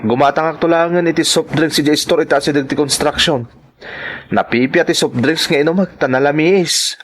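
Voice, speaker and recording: A man's voice: fairly high pitch, fairly quick, a bit bright, a little hiss or hum, natural voice. A man acting a stubborn working-class husband in an audio drama, with an Ilocano accent and a whining, cajoling manner. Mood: easygoing. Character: stubborn working-class husband, a construction laborer fond of soft drinks.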